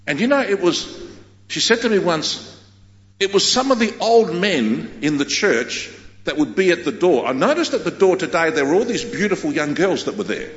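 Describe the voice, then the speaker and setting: Animated preacher in an echoey hall. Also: male voice, on the high side, normal speed. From Australia. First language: Greek. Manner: emphatic preaching with repeated phrases. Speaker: pastor. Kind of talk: sermon. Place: large church hall. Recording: echoey hall, dull sound.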